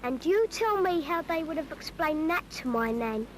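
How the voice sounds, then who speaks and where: A woman's voice: high voice, lively intonation, normal speed, background noise. A woman voicing a cheeky English schoolchild who chattily narrates a public information film, exasperated by grown-ups. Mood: wry, chatty.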